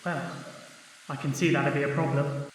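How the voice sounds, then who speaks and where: A man's voice: fairly high pitch, level tone, normal speed, close to an everyday voice. A man playing a bewildered, protesting Earthman in an amateur audio drama, flustered, stammering and indignant. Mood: puzzled. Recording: very echoey hall, distant mic, faint noise.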